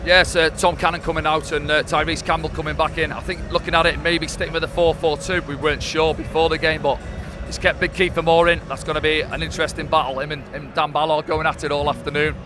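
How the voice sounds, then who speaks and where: A man's voice: a little high, level tone, fast talker, hardly any pauses. A man, a former player, giving live football analysis, chatty, with fillers and tag questions, speaking outdoors at a stadium. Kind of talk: live pre-match analysis. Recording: music playing behind.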